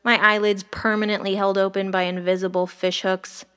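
A woman's voice: medium pitch, level tone, moderate pace, pushed and bright, clear, resonant tone, close to an everyday voice. A woman playing a wry, haunted, sleepless ER doctor who narrates a horror audio drama in the first person. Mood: weary, wry. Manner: wry, brooding, literary. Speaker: narrator and lead character.

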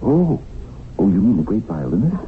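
A man's voice: fairly low pitch, big pitch swings, normal speed. An actor playing an ambitious, money-minded young businessman in a radio drama, hesitant and stammering in manner. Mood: eager, flustered. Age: young adult. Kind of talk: 1970s radio drama acting. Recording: tinny, noisy old radio broadcast.

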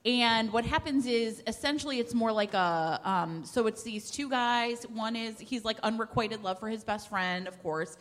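Female podcast panelist, higher-pitched, rambling and excitable book chat, recorded in a reverberant hall. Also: moderate pace, hardly any pauses. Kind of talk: casual live book recommendations. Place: large room with a live audience, indoors. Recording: echoey hall with long reverb.

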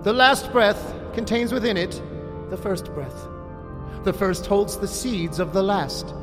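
An actor playing a solemn cult archpriest in an audio drama, delivering a mystical eulogy in a grandiose, incantatory manner. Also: male voice, high voice, expressive, unhurried. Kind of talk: ceremonial audio drama oration. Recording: long hall reverb, music underneath. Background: music.

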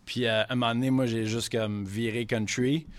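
A man's voice: medium pitch, fairly even intonation, moderate pace. A man from Quebec City, in his thirties, with a Quebec French accent, a chef guest in a casual podcast interview, rambling in franglais with frequent swearing.

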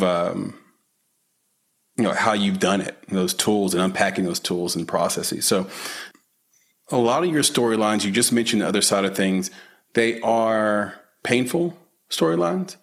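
A low-voiced man hosts a casual interview. He talks in a halting, rambling way, full of fillers and restarts, and laughs easily. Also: gravelly. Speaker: podcast host, interviewer.

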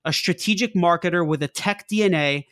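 A man's voice: sits high, fairly quick, a bit bright. A man hosting a business podcast, reading an introduction and then asking questions with many restarts and fillers. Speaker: podcast host. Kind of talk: podcast interview.

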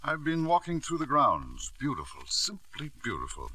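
An actor playing a ruthless self-made millionaire father, narrating and acting in a 1940s radio drama with a crisp, sardonic delivery. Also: fairly high pitch, wildly swooping pitch, normal speed, pushed and bright, full character voice. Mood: cool menace. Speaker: narrator and lead character. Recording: dry old radio broadcast.

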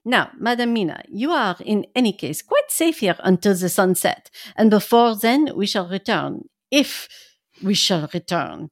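A woman's voice: mid-range voice, very animated, a little slow, no echo, clean studio sound. A woman voicing a fussy, earnest old male professor in an amateur dramatic reading.

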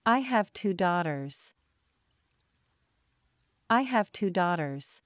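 A woman reading simple example sentences for learners, slowly and deliberately, saying each one twice. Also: fairly low pitch, expressive, no room sound, studio quality. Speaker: English teacher.

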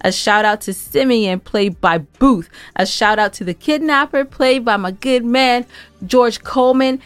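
A woman's voice: a little high, measured pace, clean tone, dry recording, faint music behind. A woman co-hosting a casual recap podcast, gushing and repeating herself for emphasis. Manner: effusive, gushing, emphatic repetition. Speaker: podcast co-host and scriptwriter.

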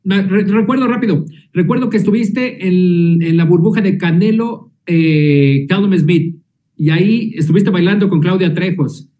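A man interviewing a boxer in a friendly way, warmly calling him champ. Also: high-pitched, moderate pace. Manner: friendly, calls the guest Champ. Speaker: sports interviewer.

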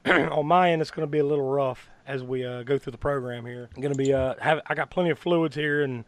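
A man hosting a horror movie podcast, hoarse from bronchitis, talking in a rambling way with many fillers and restarts. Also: mid-range voice, fairly quick, no echo. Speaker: podcast host. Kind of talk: casual podcast chat.

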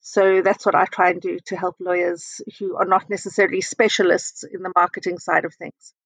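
A middle-aged woman from South Africa, a guest in a conversational interview, talking in a measured, thoughtful way with frequent ums and uhs. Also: fairly low pitch, flat delivery, husky, dry recording, rough recording. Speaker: interview guest, communications consultant.

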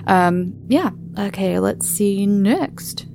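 A woman podcast host talks casually and informally, drifting from thought to thought as she answers listeners. Her mid-pitched voice has plenty of vocal fry. There is faint noise behind her. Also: lively intonation, extremely slow, darkish tone. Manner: informal, rambling, chatty.

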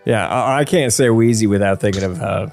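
A man, probably in his thirties, hosting a casual podcast chat in a jokey, playful, animated manner. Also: lowish voice, fairly quick, creaky, soft background music. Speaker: podcast host. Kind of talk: casual podcast chat about game lore.

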